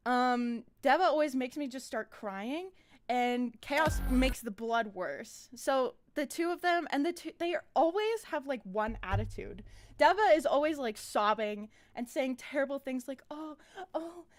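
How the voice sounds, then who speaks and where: Woman streamer, higher-pitched voice, informal, rambling, casual animation commentary. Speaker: animator hosting a live stream.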